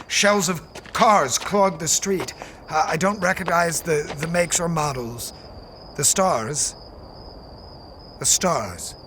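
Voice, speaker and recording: An earnest scientist lost in a strange world, in radio drama style. His voice sits a little high and comes out halting and repetitive, bewildered. There is faint noise behind him. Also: sharp tone. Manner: halting, stammering, repeats himself. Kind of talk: audio drama acting.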